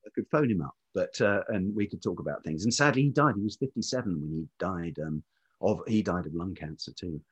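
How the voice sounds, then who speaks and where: A man's voice: low-pitched, expressive, a little fast, slightly rough. A middle-aged man with a velvety voice telling anecdotes as an interview guest, hesitantly piecing his thoughts together. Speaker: podcast guest, writer and producer. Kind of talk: reflective anecdotal interview talk.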